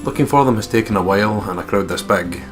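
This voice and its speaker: A man's voice: on the low side, fast, over music. A man co-hosting a game playthrough, casually commenting and reading story text aloud, with a joking, sweary manner. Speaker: let's play co-host.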